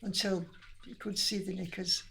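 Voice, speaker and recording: A woman's voice: mid-range voice, fairly even intonation, moderate pace, vocal fry, fairly bright tone, breathy edge, low-quality recording. Older Lancashire woman reminiscing. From Manchester, England. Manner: chatty, reminiscing with frequent restarts and laughs. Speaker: interviewee. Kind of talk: casual interview chat.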